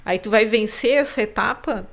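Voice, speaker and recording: A woman hosting a podcast interview, speaking in reflective, drawn-out phrases with frequent restarts. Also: on the high side. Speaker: podcast host. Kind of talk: conversational interview.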